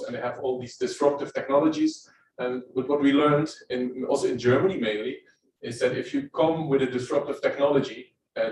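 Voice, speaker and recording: A middle-aged Dutch-accented man presents to a live audience, speaking at an ordinary mid pitch in an easy, conversational way with frequent ums. His voice reaches the mic from a distance across a reverberant room, with a faint hiss underneath. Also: darkish tone. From Netherlands. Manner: standing while presenting, conversational with fillers. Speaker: guest speaker. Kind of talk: conference presentation. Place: lecture room. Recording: roomy hall, from far off.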